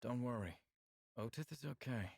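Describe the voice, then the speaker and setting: A man playing a bold, seductive alien suitor in a visual novel, speaking with calm, teasing assurance. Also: mid-range voice, normal speed, creaky, breathy edge, no echo, studio quality. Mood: reassuring. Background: game music.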